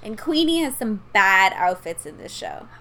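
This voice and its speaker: A woman's voice: mid-range voice, very animated, moderate pace, sharp tone. A woman with a New Orleans Yat accent, co-hosting a podcast in casual, opinionated chat. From outside New Orleans, Louisiana. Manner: chatty, opinionated, laughs easily. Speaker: podcast co-host.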